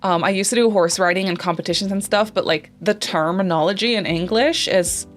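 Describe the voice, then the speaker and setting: A woman's voice: mid-range voice, a little fast, faint music behind. A young woman whose first language is Dutch, chatting casually over a voice-over with lots of fillers and self-corrections. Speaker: Sims YouTuber.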